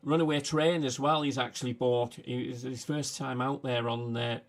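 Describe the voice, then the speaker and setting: A man with a Manchester accent chats casually on a livestream, his voice gravelly and fairly flat. He stops and starts, filling gaps with "uh" as he talks. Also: medium pitch. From England. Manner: halting, frequent "uh" fillers. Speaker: livestream host.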